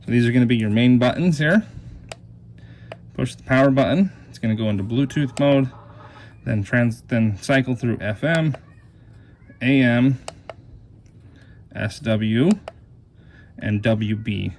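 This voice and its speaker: A man's voice: mid-range voice, unhurried, long pauses, a little mellow. A man giving a casual, plain and explanatory hands-on product demonstration. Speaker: product reviewer. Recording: clear background noise.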